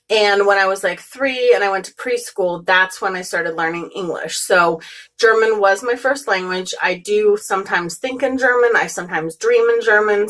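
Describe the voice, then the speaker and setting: A woman's voice: medium pitch, bright, forceful tone, rough recording. A young American woman with no regional accent, chatting casually to camera in a vlog, rambling with lots of ums and restarts. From United States. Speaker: beauty vlogger.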